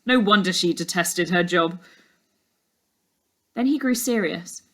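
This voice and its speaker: A woman's voice: fairly low pitch, unhurried, a bit bright. A woman teacher narrating a children's storybook expressively, as if for her class. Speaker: teacher reading a class book aloud.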